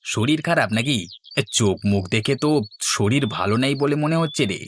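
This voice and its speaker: A man's voice: medium pitch, lively intonation, fairly quick, slight background noise, lightly acted. A man voicing a loyal, concerned village friend in a fairy-tale cartoon, caring and earnest.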